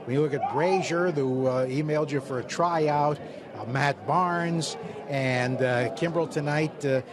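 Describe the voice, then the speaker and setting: A man, a radio baseball broadcaster, talking conversationally with frequent pauses during post-game coverage from a hallway outside a clubhouse. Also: on the high side. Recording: noisy celebration crowd behind.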